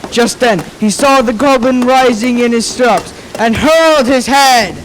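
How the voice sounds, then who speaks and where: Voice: mid-range voice, measured pace, a little hiss or hum, close to an everyday voice, rough recording. A young student narrating a ghostly tale for a school radio play in a formal, dramatic storytelling manner. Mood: suspenseful. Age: child or young teen.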